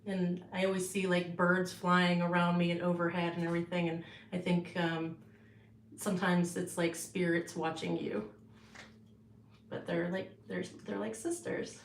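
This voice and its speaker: A woman's voice: lowish voice, little pitch movement, unhurried, roomy sound, slight background noise, low-quality recording. A woman artist casually showing her paintings on a livestream, rambling with many fillers and restarts, in her home art studio.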